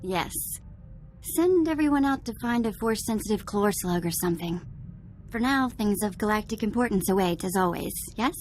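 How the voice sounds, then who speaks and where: A woman's voice: medium pitch, expressive, moderate pace, a little mellow, slight background noise, natural voice. An actress playing an irreverent young alien woman in a sci-fi video game, speaking in a casual, playful, affectionate way. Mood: playful, happy. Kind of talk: scripted video game dialogue.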